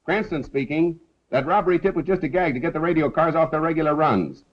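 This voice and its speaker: A man's voice: a little high, fast, lightly acted. A man playing a suave, flippant amateur sleuth and newspaper columnist, bantering wryly in 1930s film style. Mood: brisk. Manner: wry, bantering. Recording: dull, noisy 1930s film soundtrack.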